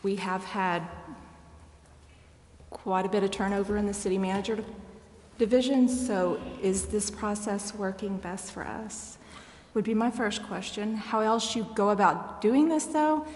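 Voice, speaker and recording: A woman's voice: mid-range voice, measured pace, a little mellow. Echoey American woman questioning at a meeting. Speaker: city council member. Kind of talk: deliberative public meeting discussion. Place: indoor council chamber. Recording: echoey meeting chamber with noticeable background noise.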